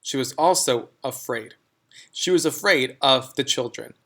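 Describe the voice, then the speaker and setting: A man's voice: mid-range voice, a little slow, a bit bright. A man reading a children's story aloud in a scripted narration style. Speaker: storybook reader.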